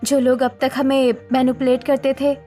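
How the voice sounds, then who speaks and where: Supportive, assertive young daughter-in-law female actor, high-pitched, animated family drama, music bed. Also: normal speed, close to an everyday voice. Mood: earnest. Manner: earnest, firm.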